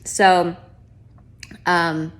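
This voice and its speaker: A woman's voice: lowish voice, level tone, drawn-out words. Chatty nasal woman with a cold. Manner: chatty, many fillers like "um" and "like". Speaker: podcast host and life coach. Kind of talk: casual solo podcast talk.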